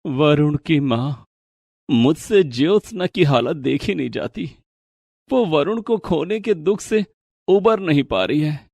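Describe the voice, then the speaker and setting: An actor playing a caring elderly father-in-law, gently reasoning, in an animated moral story. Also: on the high side, slow, vocal fry, dry recording, exaggerated voice. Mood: sorrowful, earnest.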